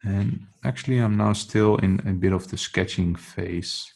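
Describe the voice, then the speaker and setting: A man's voice: low voice, unhurried, gravelly, a little mellow. A man with a Dutch accent, a non-native English speaker, thinking aloud while he sketches in a casual drawing tutorial. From Netherlands.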